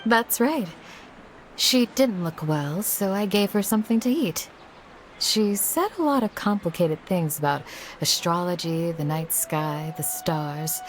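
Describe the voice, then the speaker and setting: A woman voicing a calm, caring village guardian in a video game, modest and composed. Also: fairly low pitch, unhurried, slight background noise, low-quality recording. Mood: kindly.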